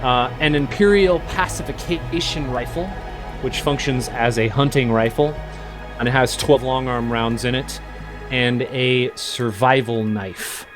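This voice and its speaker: A man narrating as game master, describing scenes with many pauses and fillers. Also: mid-range voice, steady tone, over music. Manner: hesitant, many ums and uhs.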